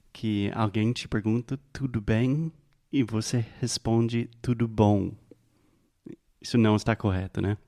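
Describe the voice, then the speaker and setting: A man co-hosting a language podcast, a learner himself, who asks measured questions and slows down on key phrases. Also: on the low side, a little mellow. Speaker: podcast co-host and Portuguese learner. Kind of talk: casual teaching chat.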